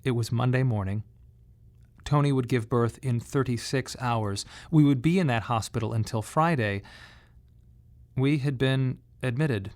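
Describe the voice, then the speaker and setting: Guy doing a dramatic radio monologue, medium pitch, thoughtful, pauses for weight. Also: darkish tone. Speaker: playwright performing his autobiographical monologue. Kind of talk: scripted dramatic narration, radio monodrama.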